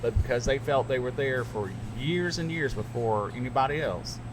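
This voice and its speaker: A man's voice: medium pitch, moderate pace, background noise. A man hosting a casual podcast chat, telling stories candidly and emphatically, with plenty of restarts. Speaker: podcast host and radio broadcaster. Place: radio studio.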